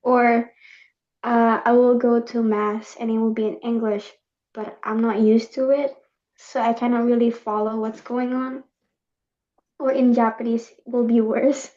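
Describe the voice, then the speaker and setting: Indonesian-born young woman, native Indonesian speaker, higher pitch, halting chatty panelist, muffled lo-fi audio. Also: little pitch movement, warm tone. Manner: hesitant, many fillers. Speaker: student panelist. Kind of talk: casual group discussion. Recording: dull lo-fi sound.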